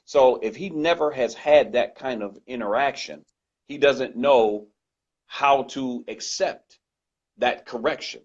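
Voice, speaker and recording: A man with a loud voice speaking earnestly and emphatically as a guest in a conversational discussion, often repeating his points. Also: mid-range voice, takes it slowly. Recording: dull lo-fi recording.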